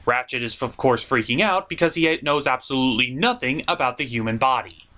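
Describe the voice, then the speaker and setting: A man's voice: medium pitch, fairly quick, pushed and bright. A man hosting a cartoon review show, delivering scripted comedic narration in a theatrical, jokey, emphatic way.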